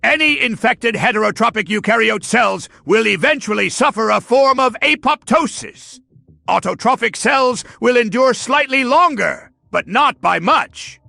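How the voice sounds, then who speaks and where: A man's voice: extremely high pitch, expressive, a little slow, creaky, pushed and bright, exaggerated voice. A man voicing a pompous, sarcastic mad scientist villain in a theatrical, gloating cartoon style. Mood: dismissive.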